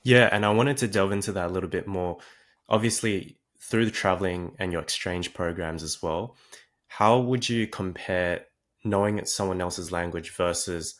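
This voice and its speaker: A man hosting a podcast, attentively asking long, thoughtful interview questions. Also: deep voice. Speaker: podcast host.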